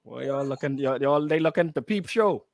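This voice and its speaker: A man's voice: mid-range voice, brisk, gravelly, a little mellow. A man with a Bahamian accent co-hosting casual radio banter, colloquial and repeating phrases for emphasis. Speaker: radio co-host. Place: radio studio.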